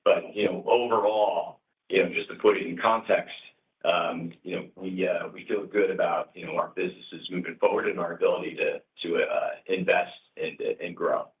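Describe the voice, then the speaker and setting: A man speaking as a company executive, answering questions on an earnings call in a halting way peppered with "you know". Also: low-pitched, fairly even intonation. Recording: echoey, distant, phone-like conference call.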